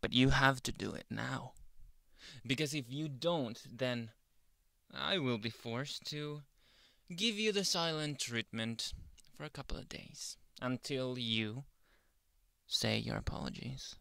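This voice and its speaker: A man playing a grumpy, possessive yandere boyfriend in an ASMR roleplay, brooding and theatrical, often dropping into breathy whispers close to the mic. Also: medium pitch, slow, fairly bright tone, no room sound. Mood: demanding. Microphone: close ASMR microphone.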